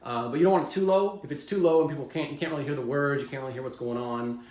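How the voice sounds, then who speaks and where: A man giving a casual how-to demonstration on audio setup for dance classes, relaxed and rambling with blunt opinions. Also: mid-range voice, rapid-fire. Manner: relaxed, rambling, many fillers and restarts. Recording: echoey room.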